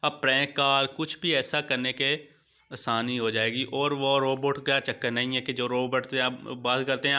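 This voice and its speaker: Male tutorial host, mid-pitched and bright, colloquial and repetitive, lo-fi audio. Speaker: YouTube host of app tutorials. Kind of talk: casual tutorial narration with screen walkthrough. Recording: dull lo-fi sound.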